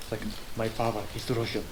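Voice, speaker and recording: A man's voice: fairly low pitch, rough recording. A man exploring an old railway tunnel with a friend, bantering and making dry jokes. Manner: dry joking, teasing. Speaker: exploring companion. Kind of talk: casual banter. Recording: loud noise on camera audio.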